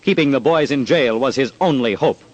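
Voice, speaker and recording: A man's voice: mid-range voice, brisk, dull audio. A man narrating an old-time radio crime documentary in a dramatic, punchy, emphatic scripted style. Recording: old radio with loud music.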